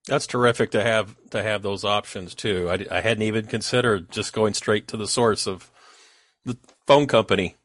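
A man's voice: on the low side, steady tone, normal speed, a bit bright, no echo, clean studio sound. A man hosting a podcast interview, responding and asking questions in a conversational style.

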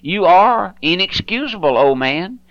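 A man's voice: high voice, big pitch swings, unhurried, a bit bright, dry recording, highs cut off. A man pastor preaching an earnest, pleading radio sermon, often repeating himself and stumbling over words.

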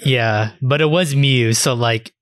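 A man's voice: medium pitch, slow pace. A man co-hosting a podcast, chatting about a video game in an informal, sweary way. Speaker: podcast co-host.

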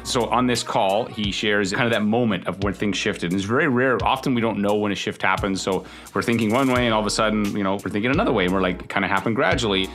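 Fast-talking upbeat male podcast host. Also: lowish voice, fairly bright tone, soft background music. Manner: upbeat, fast talk with many fillers like "like". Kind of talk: casual interview chat.

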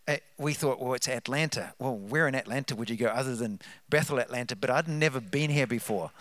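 A man with a New Zealand accent, a guest speaker telling testimonies at a church, animated and rousing the congregation. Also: fairly high pitch, clean tone, no echo. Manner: animated, hypes up the crowd, trails off into asides. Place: church sanctuary.

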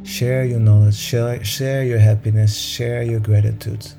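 A man's voice: medium pitch, little pitch movement, a little slow, gravelly, background noise. A man leading a meditation session, talking informally to a group in a soothing, encouraging manner.

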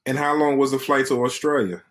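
A man hosting a podcast, speaking conversationally and in a measured way, often restarting his phrases. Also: mid-range voice, steady tone. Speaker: podcast host, interviewer. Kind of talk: conversational interview questions.

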